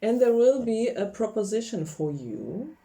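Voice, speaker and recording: A woman's voice: a little high, big pitch swings, a little slow, dark tone. A woman from Poland, chatting casually through a tarot card reading, laughing often and searching for English words. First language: Polish. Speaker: tarot reader.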